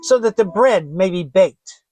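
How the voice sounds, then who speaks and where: Wicked old storybook witch, played by a man: high, slow, scornful and impatient orders. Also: expressive, a little mellow, no echo, soft background music. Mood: commanding. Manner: commanding, scornful. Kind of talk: dramatic fairy tale reading.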